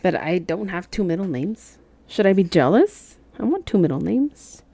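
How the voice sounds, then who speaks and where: A woman's voice: lowish voice, sing-song, normal speed, mellow. A Mexican American woman whose first language is Spanish, chatting casually with her live chat while she stitches and laughing often. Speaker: cross-stitch livestream host.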